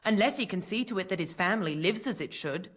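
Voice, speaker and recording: An actress playing a bitter, grasping, poor mother of five in a fantasy video game, scornful and sharp-tongued, with rough uneducated grammar. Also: medium pitch, lively intonation, fast talker. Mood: angry, demanding.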